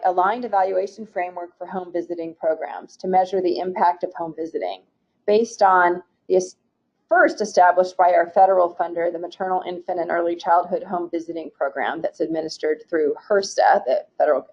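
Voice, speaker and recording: A woman from a state agency presenting slides in a webinar, reading through program goals in a steady, formal way with occasional restarts. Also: fairly low pitch, fairly quick. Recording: muffled, dull webinar audio.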